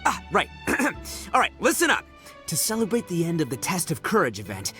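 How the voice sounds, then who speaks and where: A brash young gang leader for an anime game. His voice is high and swoops around with swagger. He talks in slangy, theatrical boasts, but means well. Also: wildly swooping pitch, a little fast, pushed and bright, faint music behind, full character voice. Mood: boisterous.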